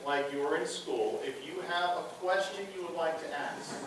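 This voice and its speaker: Guy moderating a con panel, kinda high voice, announcer vibes, echoey hall, room noise. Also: moderate pace, fairly bright tone, far from the mic. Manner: formal, showman-like, gives audience instructions. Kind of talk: announcer-style convention hosting. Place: large convention panel room. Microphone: PA mic heard from a far camera.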